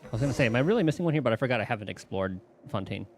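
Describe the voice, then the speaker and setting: Fast-talking man, casual game streamer. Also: mid-range voice, animated, a little mellow. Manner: rambling, thinking aloud. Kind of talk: casual playthrough commentary. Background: faint game music.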